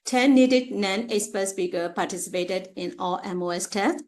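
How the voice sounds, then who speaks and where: A woman giving an academic slide presentation as a researcher, speaking haltingly with frequent pauses and repeated phrases. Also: mid-range voice, a little mellow. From Myanmar.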